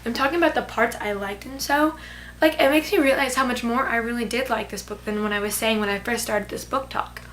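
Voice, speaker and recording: A young woman reviewing a book she loved in a casual, rambling vlog, hoarse as she loses her voice. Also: a little high, brisk, fairly bright tone, slight background noise. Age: teens. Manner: gushing, rambling with many "like"s. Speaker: book vlogger.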